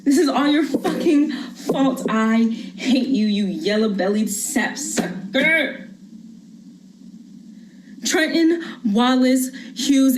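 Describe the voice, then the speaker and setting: An actress playing a desperate young mother who softly pleads with her small son to put down a gun, then turns angry, acting a monologue over a video call. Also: on the high side, slight background noise, lightly acted, poor audio. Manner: shifts from soft pleading to angry threats. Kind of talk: acted dramatic monologue.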